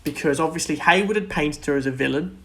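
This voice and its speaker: Guy with a slightly high voice chatting on a movie podcast, earnest and opinionated. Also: fast, a bit bright. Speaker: podcast co-host. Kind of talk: casual film and TV discussion.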